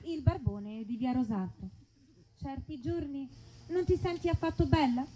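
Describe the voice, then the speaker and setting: A woman's voice: high-pitched, a little slow, dark tone, slight background noise, low-quality recording. A woman performing as announcer of a parody advertisement in a live comedy reading, with rhetorical, slogan-like delivery.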